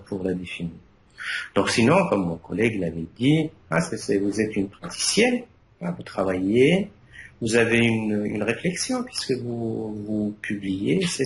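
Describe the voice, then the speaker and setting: Hesitant male jury member, Arabic native. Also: medium pitch. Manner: hesitant, many fillers and restarts. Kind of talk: academic thesis feedback. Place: university defense room. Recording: echoey room, faint background noise.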